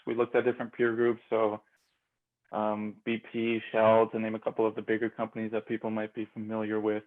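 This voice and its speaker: A young man from Austria answers interview questions, stopping and restarting often with plenty of fillers. His voice is gravelly and stays fairly level in pitch. The sound is narrow and tinny, like a phone line. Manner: hesitant, many fillers and restarts. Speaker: guest, MBA grad student. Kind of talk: casual interview.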